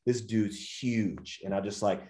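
A man in his twenties with an American accent, a vegan coach telling his story as an interview guest in a lively, slangy way. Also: fairly low pitch, a little slow, echoey room. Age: 20s. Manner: animated storyteller, slangy, says dude and bro. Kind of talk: casual podcast interview.

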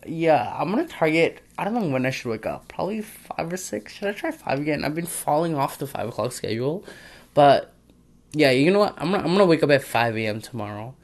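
A man's voice: on the high side, big pitch swings, fairly quick. A young man talking through his plans in a casual audio diary, rambling and thinking aloud. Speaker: podcaster.

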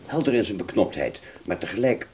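A man playing a wounded British fighter pilot, telling his story in a radio play with a husky voice and halting, stammering delivery. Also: on the low side, animated, darkish tone, a little hiss or hum, lightly acted. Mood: haunted, halting. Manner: halting, stammering, repeats words. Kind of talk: first-person dramatic narration in a radio play.

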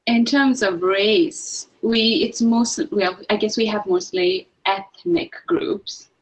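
A woman's voice: mid-range voice, fairly even intonation, slow, rough recording. A woman from Sri Lanka with a mixed British and American accent, a podcast guest who talks casually, laughs often and restarts her sentences. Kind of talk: casual podcast chat over video call.

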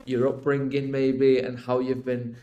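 A man chats on a podcast. His voice sits at an ordinary pitch, and he explains things in a loose, emphatic, rambling way, tagging points with little check-ins. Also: completely flat delivery, unhurried, warm tone, dull audio. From Iraq until age eight, then UK. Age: 20s. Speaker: podcast guest. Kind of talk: casual podcast conversation.

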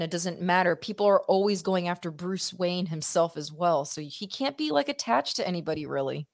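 A woman co-hosting a casual podcast, sharing firm opinions about a movie. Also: fairly low pitch. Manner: opinionated, laughs often. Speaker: podcast co-host. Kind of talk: casual film-watching podcast chat.

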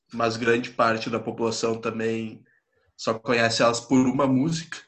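Hesitant man thinking aloud. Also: medium pitch. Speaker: podcast co-host. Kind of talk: casual chat among friends.

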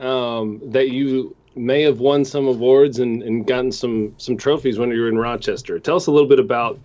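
A man hosting a casual interview, asking questions with many pauses and fillers. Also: medium pitch, highs cut off. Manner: hesitant, filler-heavy questions. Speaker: podcast host.

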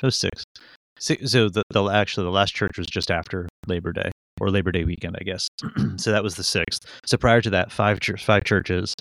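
A man's voice: low-pitched, steady tone, normal speed, vocal fry, fairly bright tone, no echo, rough recording. A man in his forties chatting casually as a podcast co-host, rambling and self-mocking with many fillers and restarts. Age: 40s. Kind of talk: casual chat. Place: Connecticut. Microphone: studio microphone.

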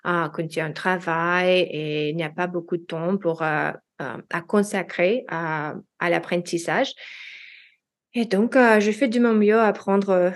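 A woman in her thirties, a native Russian speaker with a Russian accent, talking as a guest in a casual interview, hesitant and full of fillers and restarts. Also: on the low side. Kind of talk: casual interview chat in French.

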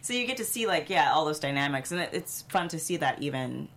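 A woman's voice: low voice, animated, fast talker, a bit bright. A woman chatting casually as a panelist in a group discussion. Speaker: table read panelist.

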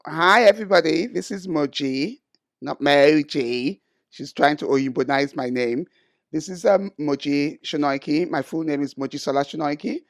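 A woman co-hosting a podcast, teasing and animated in casual chat. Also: low voice, no echo, studio quality. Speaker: podcast co-host.

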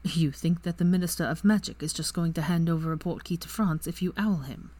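A woman voicing a tender, brooding young wizard in a low, quiet, hushed voice. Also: fairly quick, lightly acted. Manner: quiet, hushed, near whisper.

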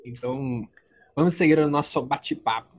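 A man's voice: mid-range voice, normal speed. A man of twenty hosting a casual podcast from home, joking and teasing his friends. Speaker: podcast host.

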